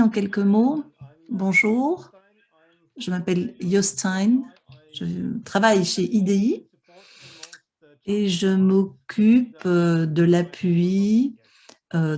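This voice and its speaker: A woman's voice: mid-range voice, dark tone. A woman interpreting a conference panel live, with many hesitations and restarts. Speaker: simultaneous interpreter for an online panel.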